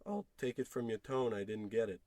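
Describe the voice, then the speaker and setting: A man's voice: medium pitch, fairly quick, dark tone, no room sound, close to an everyday voice. A man playing a frustrated, self-pitying unemployed husband in an old-time radio comedy, wordy, sarcastic and theatrical. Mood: bitter, dejected. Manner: wordy, indignant, sarcastic rambling.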